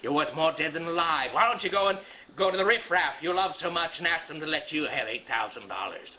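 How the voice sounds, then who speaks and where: A man voicing a cold, cruel old male banker who talks slowly and with contempt. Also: fairly high pitch, expressive, highs cut off, slight background noise, natural voice. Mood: sneering. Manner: slow, contemptuous, mocking.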